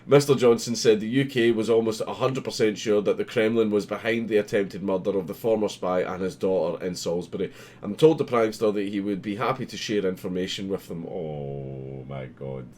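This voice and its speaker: A man's voice: on the low side, fairly quick, darkish tone, slight background noise. A man giving casual commentary as he reads a news article aloud, swearing freely in an amused, sardonic manner. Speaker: host.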